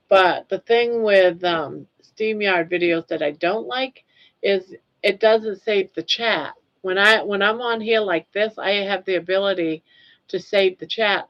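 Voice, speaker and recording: An older woman from Maine hosting a casual livestream, rambling and laughing easily as she reads the chat. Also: lowish voice. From Maine, USA. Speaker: livestream host. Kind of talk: casual live chat reading comments. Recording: dull lo-fi stream audio.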